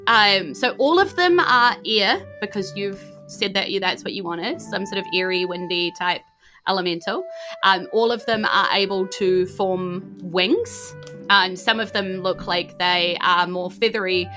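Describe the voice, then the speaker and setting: A woman's voice: mid-range voice, expressive, unhurried, bright, forceful tone, faint music behind. A woman with a New Zealand accent improvising narration as a tabletop game master, informal and often groping for words.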